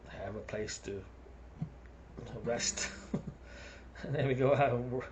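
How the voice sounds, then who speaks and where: A man's voice: mid-range voice, a little hiss or hum, rough recording. A man with a South African accent rambling through a casual monologue, chuckling between his thoughts.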